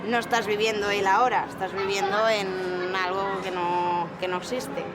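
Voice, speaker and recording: A woman answering a street interview outdoors, quick and matter-of-fact. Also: medium pitch, flat delivery. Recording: loud street crowd and traffic.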